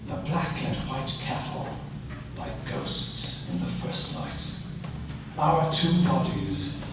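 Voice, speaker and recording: A man reciting poems at a live reading with deliberate phrasing and pauses. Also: mid-range voice, big pitch swings. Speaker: poetry reader at an event. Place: auditorium stage at a public reading. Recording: echoey auditorium, distant mic, noisy.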